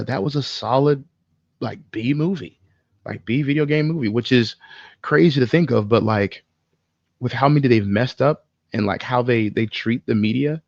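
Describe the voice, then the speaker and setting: A man's voice: medium pitch, creaky, darkish tone, no echo, dull audio. A man co-hosting a podcast, chatting casually in a blunt, opinionated way. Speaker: podcast co-host. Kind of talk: casual chat.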